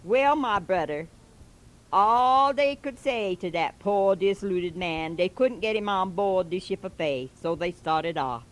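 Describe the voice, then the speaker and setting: A woman's voice: mid-range voice, a little slow, natural voice, rough recording. A woman voicing a fervent old-time male preacher who tells a parable in a drawling dialect, exhorting his congregation with repetitive asides. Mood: preaching. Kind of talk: comic dialect sermon recitation.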